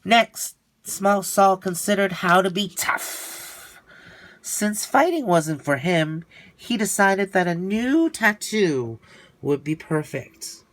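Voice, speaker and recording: A man reading a children's storybook aloud in an expressive style, with theatrical, drawn-out emphasis. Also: high voice.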